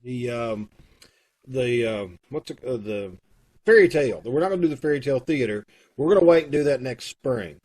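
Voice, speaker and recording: A man's voice: medium pitch, expressive, normal speed, darkish tone. A man with a Kentucky accent, leading a theater troupe and making casual, rambling announcements over a video call. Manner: folksy, rambling, with fillers.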